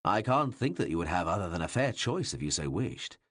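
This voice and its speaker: A male narrator voicing a bawdy, teasing king who mocks and needles those around him in a loud, playful way. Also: low voice, sing-song, a little fast, dry recording, studio quality, exaggerated voice. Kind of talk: audiobook reading.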